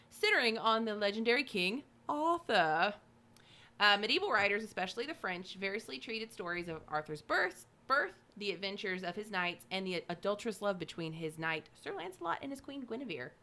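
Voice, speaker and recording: A woman's voice: mid-range voice, sing-song, a little fast, bright, forceful tone. A woman co-hosting a podcast, reading from notes with chatty, informal asides and some stumbles over names. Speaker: podcast co-host.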